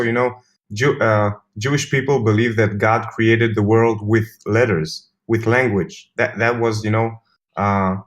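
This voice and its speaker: Man explaining calmly. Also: lowish voice. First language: Hebrew. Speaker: interview guest. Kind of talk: casual interview chat.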